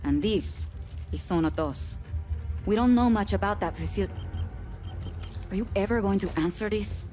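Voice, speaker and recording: An actress playing an earnest, resolute rebel organiser in a video game, giving brisk, explanatory briefings. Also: female voice, mid-range voice, a little mellow, soft background music, lightly acted. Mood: focused. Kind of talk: video game character acting.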